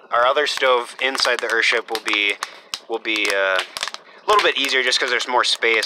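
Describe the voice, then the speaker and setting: A young man walking through his half-built homestead, talking casually and rambling with many fillers, in a voice he calls monotone. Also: medium pitch, noisy background. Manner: rambling, lots of fillers, self-described monotone. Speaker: homesteader vlogger. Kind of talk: casual off-grid build vlog. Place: half-built earthship and outdoor rooftop.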